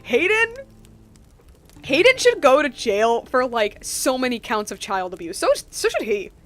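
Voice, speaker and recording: High-voiced young woman streaming a game. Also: measured pace. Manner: animated, slangy, rambling. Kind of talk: casual live gameplay reaction.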